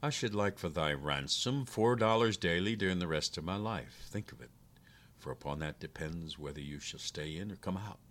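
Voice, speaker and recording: A man voicing a shrewd, unhurried soldier in a storybook tale, calm, teasing and stubborn as he bargains. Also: low-pitched. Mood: shrewd bargaining. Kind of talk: storybook character voice.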